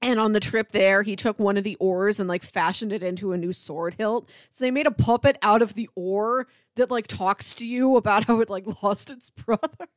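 Female podcast co-host, mid-pitched voice, casual film discussion, dry recording. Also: normal speed, hardly any pauses, no echo. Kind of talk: casual movie review chat.

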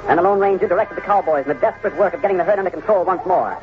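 An actor serving as the dramatic narrator of an old radio western, telling the story with urgency. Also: male voice, high voice, very fast, faint music behind, lightly acted. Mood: measured, dramatic. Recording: noisy, muffled old radio broadcast.

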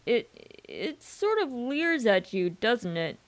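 A woman playing a breezy, chummy, slightly bewildered young gentleman in a comic radio drama. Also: on the high side, sing-song, takes it slowly. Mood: bemused, frank. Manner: chatty, frank.

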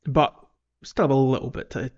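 A man's voice: medium pitch, fairly even intonation, normal speed, darkish tone, slightly rough, dull audio. A man co-hosting a podcast in a casual, conversational way about a video game. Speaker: podcast co-host.

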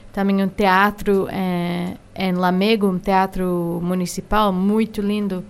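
Woman, American accent, radio studio guest, hesitant, smooth clear voice. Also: mid-range voice. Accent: American English. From United States. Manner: hesitant, many filler sounds while finding words. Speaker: guest singer. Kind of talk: radio interview.

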